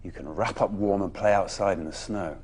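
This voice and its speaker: A man reading a children's bedtime story expressively, with dramatic pauses and emphatic phrasing. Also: low-pitched, darkish tone, husky.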